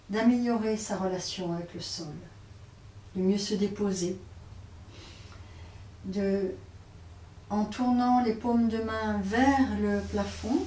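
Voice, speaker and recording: A woman teaching yoga nidra, guiding relaxation in a hesitant way, often pausing and repeating phrases. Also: medium pitch, dark tone. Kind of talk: guided relaxation instruction. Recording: roomy, distant mic, faint noise.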